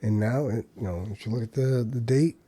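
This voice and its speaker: Mellow-voiced man hosting a podcast. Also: mid-range voice, fairly quick, husky, no room sound. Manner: loose and conversational, many ums and uhs, hedging asides. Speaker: podcast host. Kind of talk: solo casual commentary.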